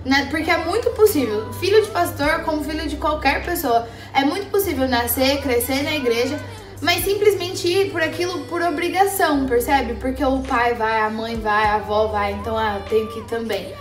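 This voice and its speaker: A young woman vlogger chatting casually and motivationally, animated and slangy, speaking straight to her viewers. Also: sits high, normal speed. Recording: roomy echo, faint background music.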